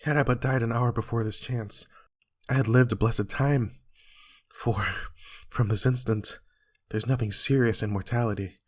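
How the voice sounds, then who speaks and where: A man's voice: medium pitch, steady tone, moderate pace, close to an everyday voice. A man playing a brooding, guilty usurper who declaims weightily in an amateur Shakespeare reading. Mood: feigned grief. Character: guilty usurper Macbeth feigning grief, brooding.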